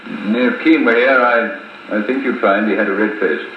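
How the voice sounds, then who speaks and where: Man playing gruff colonel, lowish voice, echoey. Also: measured pace, natural voice. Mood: dry, reserved. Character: dry, gruff British colonel, reserved old-school officer. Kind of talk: 1950s radio drama acting. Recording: muffled, echoey 1950s wire recording with hiss.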